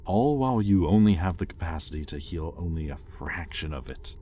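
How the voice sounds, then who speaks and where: A man's voice: low-pitched, lively intonation, fairly quick. A man playing a bitter, conflicted demon in a roleplay audio drama, speaking to his captive with brooding intensity. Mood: resentful, resigned. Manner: brooding, intense, swinging between hushed confession and heated accusation. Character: bitter, conflicted male demon, possessive owner of a captive angel. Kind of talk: scripted roleplay audio acting, addressed to the listener.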